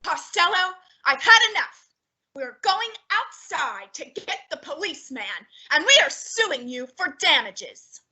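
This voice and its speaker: A woman's voice: very high voice, very animated, measured pace, sharp tone, exaggerated voice, poor audio. A woman playing an indignant married battle-axe in an old-time radio comedy, scolding shrilly with exclamations and insults.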